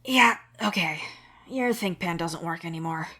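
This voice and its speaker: A woman voicing an irritable but caring young man who scolds in an exasperated, hushed, sweary way. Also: on the low side, a little slow. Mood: weary fondness.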